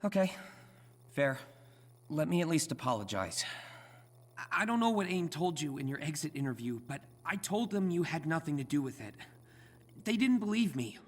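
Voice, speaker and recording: An earnest young American superhero in a video game. His pitch swings freely as he chatters in a boyish, nervy, self-deprecating way, always ready with a wisecrack. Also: sing-song, normal speed, pauses often. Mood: apologetic, anxious. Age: young adult.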